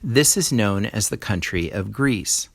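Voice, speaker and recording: A man's voice: fairly low pitch, expressive, a little slow, gravelly, no room sound, clean studio sound. A man reading an educational text aloud as a teacher, explaining and stressing key words. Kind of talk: educational read-aloud narration.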